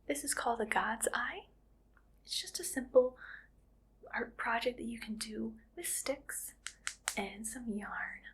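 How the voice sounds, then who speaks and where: Quirky, friendly art teacher played by a woman, whispery ASMR delivery with a creaky edge, gentle and unhurried. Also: fairly high pitch. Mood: soft, explaining. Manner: gentle, unhurried, hesitant murmuring. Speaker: ASMR performer. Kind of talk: soft whispered ASMR roleplay.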